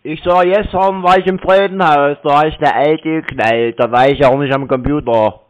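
A man in casual phone talk with a deadpan manner, recorded dully with some noise. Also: a little high, moderate pace. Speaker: call recipient baiting phone scammers. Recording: dull, faintly noisy call recording with clicks.

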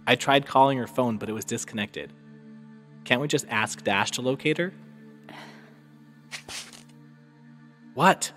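A man's voice: medium pitch, moderate pace, fairly bright tone, soft background music, lightly acted. An actor in an audio drama playing a hesitant, apologetic young man with a tentative, conciliatory manner. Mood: sheepish. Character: hesitant, apologetic young man caught between feuding crewmates.

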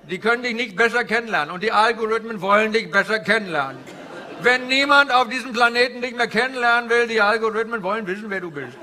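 A man playing a cheery, flattering Indian finance guru with an Indian accent, in theatrical character stand-up that repeats phrases and banters with the audience. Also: high-pitched, quick pace. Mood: mock-earnest explaining. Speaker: stand-up comedian. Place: indoor live venue before an audience. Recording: live stage with noisy audience. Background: audience laughter and crowd ambience.

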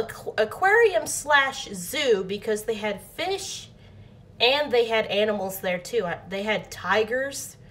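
Young woman rambling thru a storytime from her bedroom, lots of ums and restarts. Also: medium pitch. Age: young adult. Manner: rambling, many restarts and fillers. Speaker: vlogger. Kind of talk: casual storytime. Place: bedroom at home. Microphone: phone or webcam, close framing.

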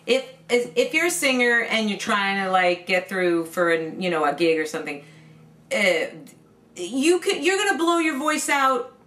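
A woman's voice: on the high side, sing-song, moderate pace, fairly bright tone. A middle-aged woman from New York, a vocal coach, explaining singing technique in a casual interview indoors, earnestly and with frequent restarts. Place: indoor home room lined with CD shelves.

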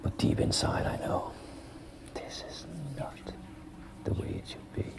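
A man telling a first-person story in a hushed, breathy whisper. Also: a little slow.

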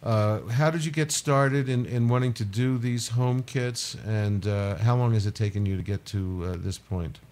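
A man's voice: mid-range voice, normal speed, few pauses, a little mellow. A man hosting a radio talk show from a home studio, asking questions with many fillers. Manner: filler-heavy, restarts questions. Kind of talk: interview questions.

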